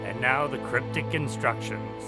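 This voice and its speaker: A man's voice: medium pitch, measured pace, close to an everyday voice. A man playing a skeptical, sarcastic modern time traveler in an audio drama, talking in a dry way. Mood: dryly annoyed. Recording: loud dramatic music underneath.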